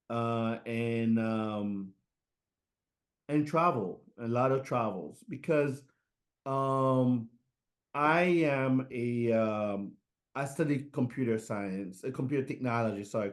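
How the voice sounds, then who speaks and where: A middle-aged man from Haiti answering interview questions, halting with many fillers and restarts. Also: mid-range voice. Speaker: guest, fashion designer.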